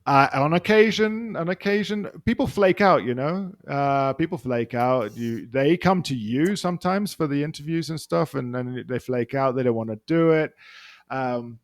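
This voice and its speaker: A man's voice: mid-range voice, normal speed, no echo. Rambling London man, dry close sound. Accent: London English. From England. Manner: rambling, many restarts and fillers. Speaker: podcast guest, journalist. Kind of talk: casual interview chat.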